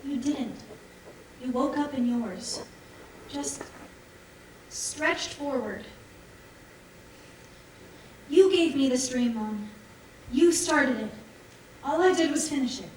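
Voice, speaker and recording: A woman's voice: high voice, expressive, takes it slowly, long pauses, a little mellow, distant mic. A woman on stage playing an eager, persuasive daughter in a sci-fi colony, speaking in a coaxing, assured way. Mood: earnest coaxing. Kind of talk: staged musical reading, stage acting. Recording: echoey theatre from afar, faint noise.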